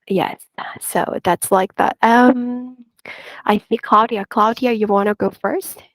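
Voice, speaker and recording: A woman's voice: medium pitch, fairly even intonation, slow pace, dry recording, low-quality recording. A woman hosting a casual online group chat from an airport waiting area, chatty and full of fillers. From South Korea. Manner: friendly, chatty, many fillers. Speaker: host.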